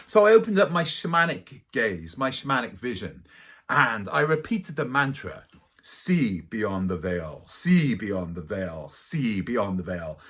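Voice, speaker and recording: A middle-aged man with a light Welsh accent telling dramatic spiritual stories to camera. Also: mid-range voice. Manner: animated, dramatic storyteller. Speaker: spiritual teacher. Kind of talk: storytelling to camera.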